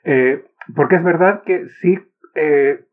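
A man from Chile with a Chilean accent, hosting a casual conversation and asking curious, relaxed questions. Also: fairly high pitch, takes it slowly. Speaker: podcast host. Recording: tinny phone-like line.

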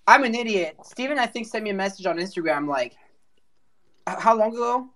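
A man's voice: high-pitched, brisk, sharp tone. A man hosting a casual live voice chat, talking in an informal, rambling way and cutting into his own sentences. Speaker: host.

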